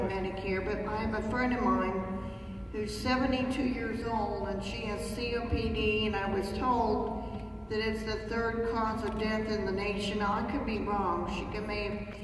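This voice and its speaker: A woman's voice: mid-range voice, fairly even intonation, normal speed, noisy background. Hesitant woman asking in an echoey hall. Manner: hesitant and rambling, with restarts. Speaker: audience member asking a question. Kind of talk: public question at a town hall. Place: large theater hall. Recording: echoey hall heard from far off.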